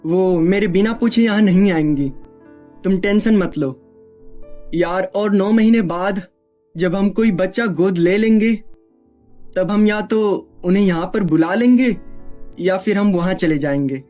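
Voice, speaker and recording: A woman's voice: mid-range voice, unhurried, dark tone, soft background music, close to an everyday voice. A woman playing a dutiful, firm young husband and son in an earnest family story. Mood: reassuring.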